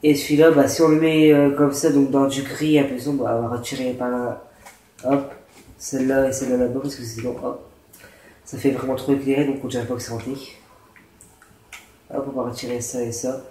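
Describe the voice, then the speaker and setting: A man's voice: medium pitch, flat delivery, brisk, warm tone. A man with a cold and a sore throat, hosting a casual gameplay tutorial, explaining in a rambling, hesitant way. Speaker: gaming channel host. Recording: roomy echo with faint noise.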